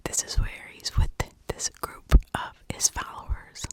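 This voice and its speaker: A woman doing ASMR true-crime storytelling in a breathy whisper, chatting from memory with dramatic emphasis on key words. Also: measured pace. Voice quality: breathy, unvoiced whisper with creaky edges.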